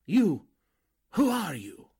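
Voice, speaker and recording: A man as a slow, theatrical sinister cult leader. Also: high voice, wildly swooping pitch, creaky, warm tone, slightly rough, full character voice. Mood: indignant, flustered. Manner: formal, theatrical, commanding or pleading. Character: sinister, crooked male cult leader and fake medium. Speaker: actor in a radio drama. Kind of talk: 1940s radio drama acting.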